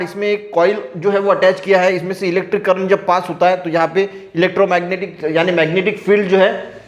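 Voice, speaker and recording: Indian guy teaching science, pretty high voice, repeats stuff step by step, roomy echo. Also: dull audio. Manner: repetitive, step-by-step explaining. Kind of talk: classroom explanation alternating read-out textbook sentences with explanation. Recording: echoey room.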